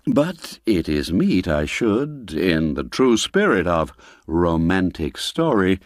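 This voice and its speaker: A man narrating a classic literary tale in a theatrical, measured storytelling manner with expressive emphasis. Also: medium pitch, no echo, clean studio sound.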